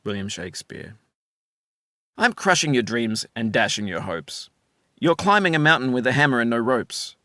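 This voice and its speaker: A middle-aged man narrates a game in a calm, reflective, gently wry voice. His pitch moves a lot, rising and falling through each phrase as he recites quotes and rhymes. The sound is close and dry, with no room around it. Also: normal speed, no room sound. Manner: calm, musing, wry; recites quotations and rhyming verse.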